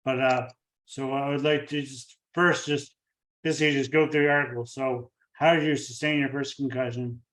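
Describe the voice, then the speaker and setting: A man hosting an interview podcast, talking in a halting way with many fillers and restarts. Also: mid-range voice, level tone, fairly bright tone. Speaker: podcast host. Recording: echoey room, far from the mic.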